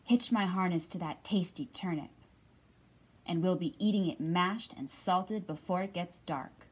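A woman's voice: lowish voice, measured pace, husky, pitched below the performer's own voice. A woman voicing a mighty, proud horse from a picture book, speaking with boastful confidence. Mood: proud, confident.

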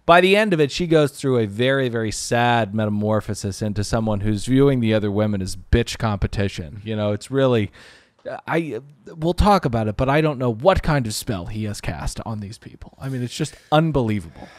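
A man in his thirties recapping a reality show with sardonic, crude humor. His pitch swings widely as he tells the story. The sound is close and dry on a studio boom mic. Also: lively intonation, fairly quick, dry recording. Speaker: podcast host. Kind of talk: casual comedic recap chat. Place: small living-room podcast studio. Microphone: studio mic on boom arm, headphones.

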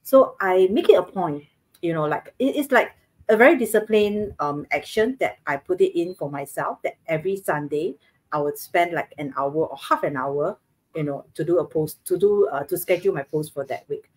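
A Singaporean woman in her thirties speaks from her living room over a webcam, explaining her routine earnestly with plenty of fillers. Her voice is slightly low and her Singaporean English accent is clear. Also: expressive, moderate pace, a little mellow, poor audio. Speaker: co-host. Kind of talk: casual live-stream chat. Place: home living room, indoors, video call. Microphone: webcam on video call.

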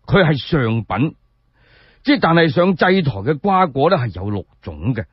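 A man's voice: medium pitch, big pitch swings, normal speed, slightly rough, no echo, highs cut off. A man with a Cantonese accent voicing a dignified, pedantic old male sage in a radio story, hesitant and self-justifying. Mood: earnest, pedantic.

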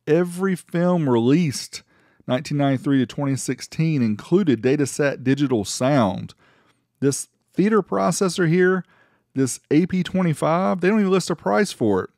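A man's voice: medium pitch, darkish tone, no room sound, clean studio sound. A man narrating a casual product review in a conversational, folksy way. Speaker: host of an amplifier review channel.

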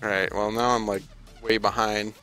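A young man giving casual, playful and excitable live commentary while he plays a video game. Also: lowish voice, normal speed, faint music behind. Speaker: game streamer. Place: indoor room at a streaming desk.

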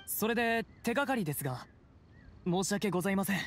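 Troubled town guard, higher-voiced. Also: male voice, animated, fast, slight background noise. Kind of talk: Japanese anime game voice acting.

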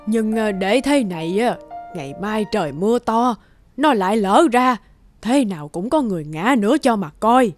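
A woman voicing a kindly, worrying old village grandmother who gently chides. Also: medium pitch, lively intonation, normal speed, soft background music, natural voice. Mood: worried. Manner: fretful, gently chiding. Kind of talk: animated folk tale dubbing.

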